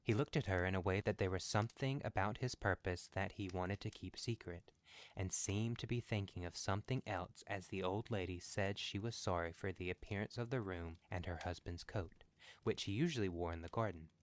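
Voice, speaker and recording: A man's voice: low-pitched, brisk. A man narrating a scripted story as a podcast reader.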